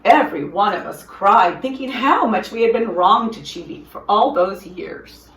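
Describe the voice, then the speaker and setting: A woman reading a children's story aloud in an expressive storyteller's style, with deliberate, dramatic phrasing for young listeners. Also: mid-range voice. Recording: roomy echo, distant from microphone.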